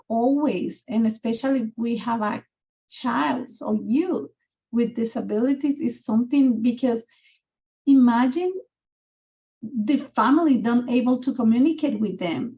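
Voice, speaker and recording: A woman's voice: a little high, darkish tone, lo-fi sound. A woman from Guatemala with a Guatemalan Spanish accent, speaking English as a second language as she talks earnestly and at length about her advocacy work. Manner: earnest and talkative, with non-native grammar. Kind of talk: video-call community conversation. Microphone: video call.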